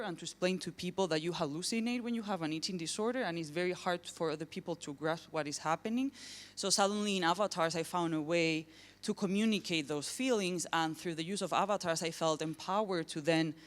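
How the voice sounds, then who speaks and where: Earnest Spanish-accented woman presenting. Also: on the low side. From southern Spain. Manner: earnest, searching for words. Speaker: artist and academic researcher. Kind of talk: conference presentation. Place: lecture event.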